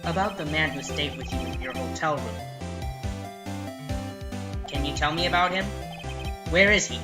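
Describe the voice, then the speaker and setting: Man acting young male defense lawyer, exasperated, sarcastic, determined, game fan dub, clear music behind. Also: on the high side, normal speed, natural voice. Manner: wry, sarcastic asides, emphatic outbursts. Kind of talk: fan dub of video game dialogue with inner monologue.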